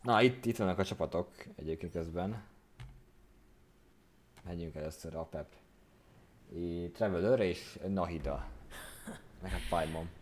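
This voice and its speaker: A man's voice: deep voice, moderate pace, pauses often. A Hungarian man doing casual live gaming commentary as a streamer, muttering informal reactions as he plays. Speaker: game streamer. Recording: faint noise, keyboard and clicking. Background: game audio, keyboard clicks.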